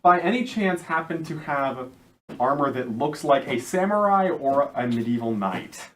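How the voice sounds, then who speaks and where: A man's voice: medium pitch, animated, moderate pace, a bit bright. A man playing a tabletop game who states his actions in a plain, methodical way. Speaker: player. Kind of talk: casual tabletop play.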